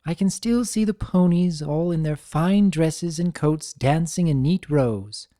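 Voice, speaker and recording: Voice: medium pitch, unhurried, mellow, no room sound, studio quality, pitched above the performer's own voice, natural voice. A performer voicing an elegant, proud and guarded female unicorn pony in a cartoon fan story, with refined, theatrical and precise diction. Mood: wistful.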